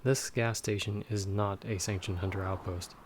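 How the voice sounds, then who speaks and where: A man plays a guarded vampire hunter for an audio roleplay, his voice on the low side. He talks to his companion in dry, measured, wry lines, protective underneath. There is faint noisy ambience behind him. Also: fairly even intonation, close to an everyday voice. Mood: serious, briefing. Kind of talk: ASMR audio roleplay, scripted monologue to listener.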